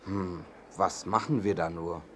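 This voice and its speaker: Ein Schauspieler spricht einen gütigen, besorgten und etwas naiven Märchenkönig in einem Kinderhörspiel, bedächtig und theatralisch staunend. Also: male voice, low-pitched, steady tone, measured pace, a little hiss or hum. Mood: thoughtful. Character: kindly, worried, naive king of a fairy-tale land. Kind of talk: children's audio drama.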